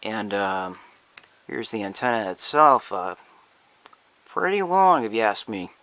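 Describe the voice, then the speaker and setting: A young man giving a casual, unscripted product demonstration in a halting, hesitant, apologetic way. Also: lowish voice, sing-song, pushed and bright, no echo. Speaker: amateur product reviewer.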